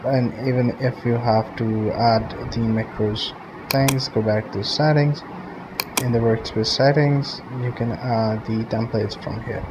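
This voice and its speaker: A man hosting a step-by-step software tutorial, narrating instructions to viewers. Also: mid-range voice. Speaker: tutorial host. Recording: muffled lo-fi audio with clear background noise.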